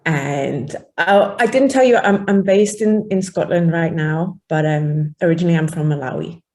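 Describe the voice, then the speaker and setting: A woman's voice: low voice, moderate pace, dark tone. A woman joining a workshop by video call, introducing herself playfully and laughing between phrases. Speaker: workshop participant.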